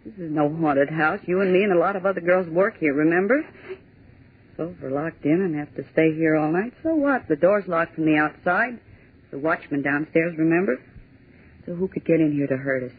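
A brash, scoffing typist. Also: female voice, low-pitched, expressive, breathy edge, full character voice. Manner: scoffing, bossy, quick retorts. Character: brash, sarcastic young woman office typist. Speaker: office typist. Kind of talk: 1940s radio drama acting. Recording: tinny 1940s radio broadcast.

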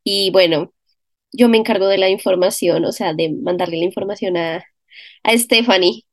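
A woman from Bogotá with a Bogotá accent, a guest chatting in a relaxed way. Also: medium pitch, normal speed, no room sound, clean studio sound. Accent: Bogotá Colombian Spanish. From Bogotá, Colombia. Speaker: podcast guest, Instagram page admin. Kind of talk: casual chat.

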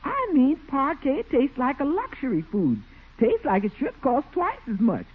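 An actress playing a cheerful, folksy cook, emphatic and savoring, in a 1940s radio commercial. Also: female voice, high voice, huge pitch swings, normal speed. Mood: delighted, emphatic. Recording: tinny 1940s radio recording.

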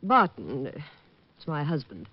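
A woman's voice: sits low, wildly swooping pitch, unhurried, husky, no room sound, heavily acted. A woman playing a glamorous, self-assured actress in a vintage radio drama, poised and coy. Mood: anxious, poised. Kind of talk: 1950s radio drama acting. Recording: muffled vintage radio recording.

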